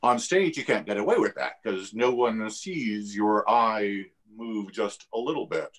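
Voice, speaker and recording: A man, an actor on a discussion panel, explaining things in an articulate, measured and reflective way. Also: on the low side, fairly bright tone.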